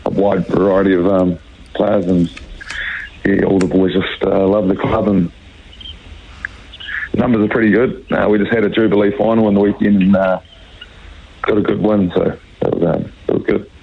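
Croaky-voiced Kiwi rugby player on the phone. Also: male voice, low-pitched, flat delivery, a little hiss or hum. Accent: New Zealand. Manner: halting, many fillers. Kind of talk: radio phone interview. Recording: muffled phone line.

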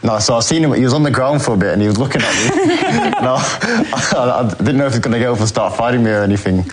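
A young man, answering interview questions in a halting way, full of ums and restarts. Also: mid-range voice, huge pitch swings, husky. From England. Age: young adult. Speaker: footballer guest.

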